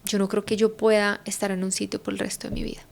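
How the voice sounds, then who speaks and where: Colombian woman in her 30s, podcast guest, bit of vocal fry, musing out loud. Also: mid-range voice, flat delivery, quick pace. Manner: reflective, thinking aloud with many fillers. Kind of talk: casual conversation.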